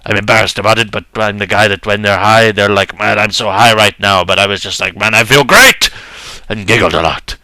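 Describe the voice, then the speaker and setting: A man's voice: lowish voice, fairly quick, bright, forceful tone, no room sound. A young man giving a dramatic first-person reading of a story in a mock-serious, earnest manner.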